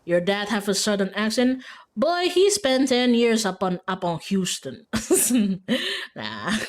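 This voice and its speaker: A woman's voice: mid-range voice, big pitch swings, slow pace. A woman streamer chatting casually and reading a game's story aloud on a livestream. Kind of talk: casual game livestream chat and read-aloud.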